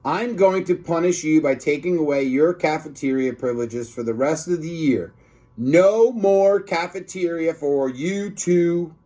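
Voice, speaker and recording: A man's voice: a little high, unhurried, mellow, voice pushed up. A man voicing a stern, punitive male school principal in a children's storybook, with an emphatic, bossy manner. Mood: stern, accusing.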